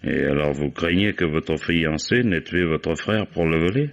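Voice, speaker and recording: An actor playing a shrewd, unhurried police commissioner in a French radio mystery, courteous and probing. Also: male voice, extremely low pitch, gravelly, heavily acted. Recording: dull old radio recording.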